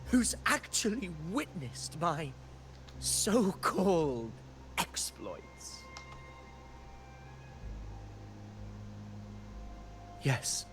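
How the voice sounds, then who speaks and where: An actor playing a proud, disillusioned fallen hero in a video game, speaking in a dramatic, brooding monologue. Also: male voice, a little high, fairly bright tone, heavily acted. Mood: bitter despair.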